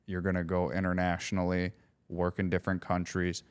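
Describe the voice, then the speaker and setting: A man's voice: sits low, completely flat delivery, a little fast, clear, resonant tone. A man co-hosting a podcast in casual chat, talking loosely and sometimes searching for words; Polish was his first language. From United States. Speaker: podcast co-host.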